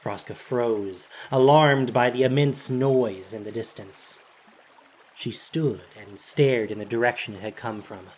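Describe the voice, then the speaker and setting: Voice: mid-range voice, a little slow, darkish tone, slight background noise, poor audio. A narrator reading a scripted, dramatic story for an audio drama.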